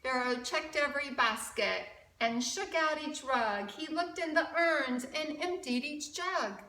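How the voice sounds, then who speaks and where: A woman reading a picture book aloud to children in an expressive, engaging way. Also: high-pitched, a little slow. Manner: expressive, asks the young viewers questions. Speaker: children's storyteller at a church story time. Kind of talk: animated read-aloud for children. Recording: roomy, from a distant mic.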